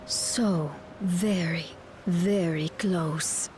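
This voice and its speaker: A woman bound in service to a ruthless master. She speaks very deliberately and slowly, hushed and full of longing. It is video game drama with faint ambient noise behind. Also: lowish voice, fairly even intonation, low-quality recording. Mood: yearning. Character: yearning, devoted female servant of a ruthless master.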